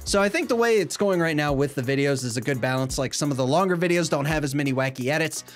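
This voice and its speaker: A man in his thirties, a gaming vlogger reading viewer comments in casual chat, slightly stuffy from a cold, animated and gesturing in a small foam-lined room. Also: quick pace, faint music behind. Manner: animated, gesturing a lot, swings between excited and low asides. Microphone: desk mic, webcam framing at head and shoulders.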